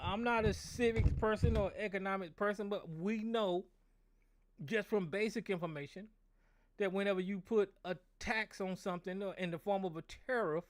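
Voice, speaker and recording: A hoarse American man, a show host, ranting angrily and profanely, repeating himself and stumbling over words. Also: sits high, no echo. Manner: angry, profane, repetitive. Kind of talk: political rant on a call-in show.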